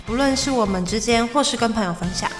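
A woman's voice: fairly high pitch, fast talker, close to an everyday voice. A young woman playing a sensitive, reflective character in an audio drama. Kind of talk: amateur audio drama narration and dialogue. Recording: roomy echo under music. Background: music.

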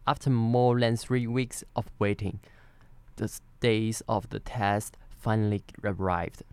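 Clear-voiced Taiwanese man telling a story. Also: on the low side, steady tone, unhurried, a little mellow, no room sound. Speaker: podcast co-host. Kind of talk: semi-scripted personal storytelling.

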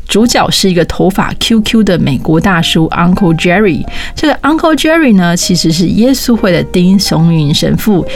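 A woman with a Taiwanese Mandarin accent presenting a scripted introduction as the host of a program. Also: lowish voice. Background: music behind the voice.

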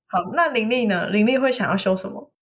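A woman's voice: fairly high pitch, expressive, normal speed, breathy edge. A woman with a Taiwanese accent chatting casually and animatedly as a podcast co-host, retelling and explaining things.

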